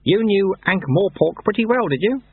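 A man voicing a gruff, wily old monk sweeper in an audiobook story, with folksy, ungrammatical talk and a raised, animated voice. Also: high-pitched, normal speed, phone-quality sound, voice pushed up, heavily acted. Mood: sly, mentoring.

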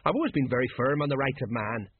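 A man acts out a stolid middle-aged police sergeant from a comic storybook. He blusters and grumbles indignantly in a husky voice. The audio is thin and band-limited. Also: medium pitch, animated, quick pace, no echo, narrow, tinny audio. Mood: indignant grumbling.

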